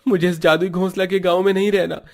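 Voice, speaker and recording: High, plaintive young traveler voiced by a man. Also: little pitch movement, fast talker, creaky. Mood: anxious protest. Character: stranded young male traveler, anxious and earnest. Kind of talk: Hindi cartoon story voice acting.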